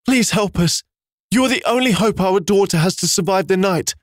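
Desperate young mother, raised pitch, breathless pleading, read by a man. Dry. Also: normal speed, no echo, lightly acted. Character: frightened young mother fleeing with baby.

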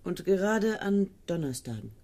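A young woman in a radio play voicing a dreamy, evasive student, soft and husky, answering quietly and sometimes in a whisper. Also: lowish voice, very animated, normal speed. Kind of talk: 1970s radio play acting.

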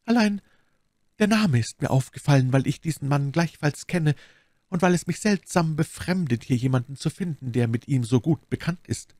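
Guy doing a scheming noble lady for an audiobook, high voice, dramatic and gushy, very lively. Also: normal speed, no echo, clean studio sound. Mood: guarded, uneasy. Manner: effusive, dramatic, insistent. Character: scheming adult noblewoman, false, intense, gushing.

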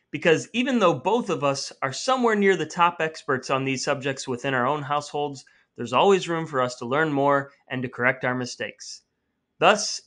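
A man's voice: on the high side, a bit bright. A middle-aged American man hosting a podcast, speaking in a scripted, narrating style. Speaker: podcast host.

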